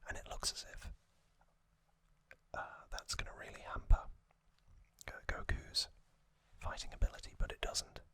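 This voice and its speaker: Male ASMR host, breathy whisper throughout, very unhurried and gentle, dry close-mic sound. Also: dry recording. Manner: gentle, unhurried, halting phrases. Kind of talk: whispered ASMR plot recap. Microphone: close ASMR microphone.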